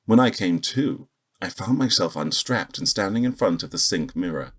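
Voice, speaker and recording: A man playing a grumpy, sardonic space agent in a sci-fi audio drama, with a husky voice and a wry, grumbling manner. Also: deep voice, lively intonation, moderate pace. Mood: wry narrating. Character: grumpy, trigger-happy male space agent, sardonic and exasperated. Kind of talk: first-person sci-fi audio drama narration and dialogue.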